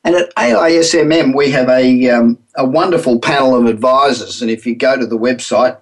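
A man's voice: medium pitch, brisk. A middle-aged man with an Australian accent, hosting a business radio show in a chatty, upbeat monologue with frequent ums.

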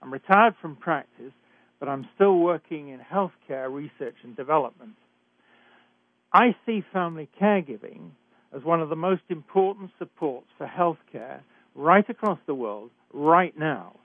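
A man's voice: fairly high pitch, expressive, no echo. British man hosting talk radio, phone-thin. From Britain. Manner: measured, emphatic, some hesitations. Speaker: radio show host. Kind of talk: hosted talk radio introduction. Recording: dry, phone-like narrow sound.

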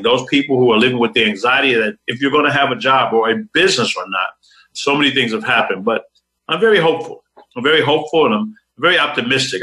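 Bright-voiced upbeat motivational host. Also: male voice, medium pitch, low-quality recording. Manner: upbeat, preacher-like motivational delivery. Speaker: talk show host. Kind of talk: motivational talk show hosting.

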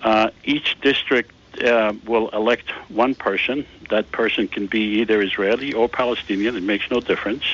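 A man being interviewed on a radio talk show, patiently explaining his plan in halting speech full of "uh"s. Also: fairly low pitch, steady tone, fairly bright tone, slightly rough. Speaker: guest, founder of a peace initiative. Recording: slightly dull lo-fi radio sound.

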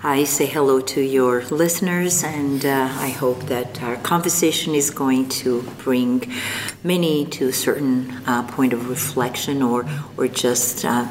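An older woman from North Africa, speaking as an interview guest, slow and thoughtful with frequent pauses. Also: a bass voice, fairly even intonation. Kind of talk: reflective interview conversation. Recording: long reverb, faint background noise.

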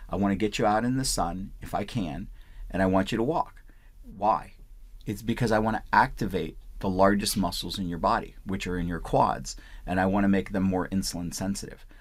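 A man's voice: deep voice, brisk. A man from Punjab in India, a doctor answering interview questions in an explanatory way. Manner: explanatory, repeats "I want" phrases. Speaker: physician.

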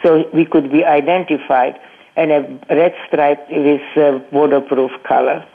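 An older woman tells her story slowly and haltingly, circling back and restarting her sentences. Her English is not native, and the grammar slips now and then. The sound is thin and tinny, as if heard over a phone line. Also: sits low, fairly even intonation. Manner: halting, with many restarts and repeated words. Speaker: Holocaust survivor guest. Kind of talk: personal testimony in an interview.

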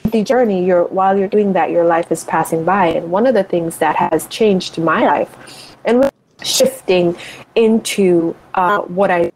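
A woman's voice: lowish voice, unhurried, darkish tone, slight background noise, rough recording. A woman guest, a life and money coach, talking in a reflective, motivational way and thinking aloud as she goes. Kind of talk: reflective interview talk.

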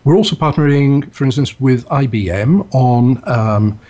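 A man's voice: medium pitch, normal speed, dark tone. A man being interviewed as a bank executive, answering with frequent ums and uhs. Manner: hesitant, frequent uh and um.

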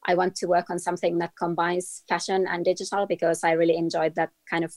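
A woman from Finland, a trend forecaster talking as an interview guest, measured and full of hesitations. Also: on the low side, level tone, a little mellow, no room sound. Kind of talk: casual interview.

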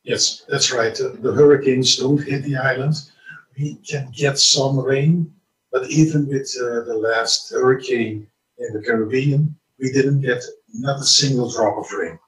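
A man's voice: medium pitch, fairly even intonation. Curaçaoan man, echoey and distant. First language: Papiamento. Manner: measured, with frequent fillers. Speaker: wellness retreat organizer. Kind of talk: interview answers.

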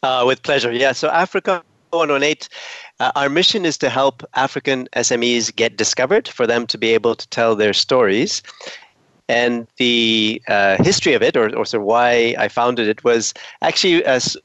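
A man's voice: medium pitch, moderate pace, a bit bright, no echo. A man from Ethiopia, a company founder, answers interview questions thoughtfully, with frequent fillers. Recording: dry, slightly dull sound.

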